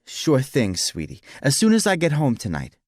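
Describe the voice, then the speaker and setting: A man voicing a confident, ruthless young businessman in an audiobook, speaking firmly and deliberately. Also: fairly low pitch, big pitch swings, dry recording, studio quality, heavily acted. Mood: gentle, fatherly. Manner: firm, deliberate, commanding.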